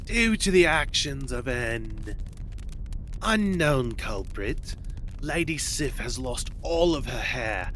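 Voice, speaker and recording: Man playing a sly trickster god, pitched up, theatrical, silver-tongued flattery, audio drama, faint noisy rumble. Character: Loki, scheming smooth-talking Norse trickster god.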